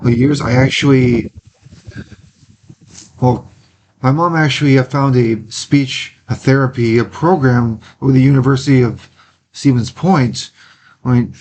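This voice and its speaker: Earnest young man, halting speech. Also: medium pitch, darkish tone. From Wisconsin. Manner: earnest, halting, with frequent pauses and restarts. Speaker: interview guest. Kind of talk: casual interview talk.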